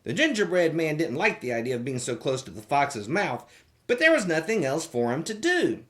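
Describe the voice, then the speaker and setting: Kids storytime host reading a picture book, super animated, theatrical and playful. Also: medium pitch, moderate pace. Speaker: host of a children's read-aloud show.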